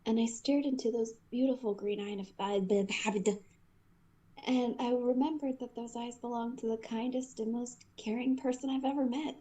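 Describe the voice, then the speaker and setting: A woman acts out a lovestruck young woman in a fan dub romance. The voice sits fairly high, sincere and tender, with a hesitant edge as she opens her heart. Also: fairly even intonation, moderate pace, dark tone, close to an everyday voice. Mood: moved, loving. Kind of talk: fan comic dub acting.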